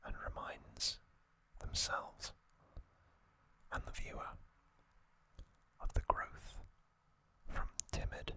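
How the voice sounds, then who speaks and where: A man whispering an ASMR trivia recap in a breathy, unvoiced voice, gently and in short, drawn-out phrases. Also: dry recording. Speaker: ASMR host.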